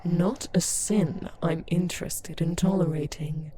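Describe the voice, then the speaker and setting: Haughty female demon buyer , voiced by a woman, ASMR roleplay. Mellow, dark tone, slow delivery, commanding and disdainful. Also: lowish voice, a little hiss or hum, lightly acted. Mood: stern, skeptical. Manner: stern, authoritative, condescending. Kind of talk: scripted ASMR roleplay, addressing unheard partners.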